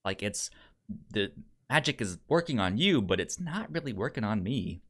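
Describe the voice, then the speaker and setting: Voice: mid-range voice, sing-song, normal speed. A podcast host discusses film in a casual, conversational style. The delivery is hesitant, with frequent stammering on the first sounds of words and repeated starts.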